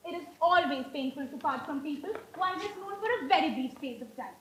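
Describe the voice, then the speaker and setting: Actress as prim girlish young lady, coy, very high and animated, stage comedy, faint background noise. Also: fairly quick. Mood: wistful. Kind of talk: amateur stage comedy acting.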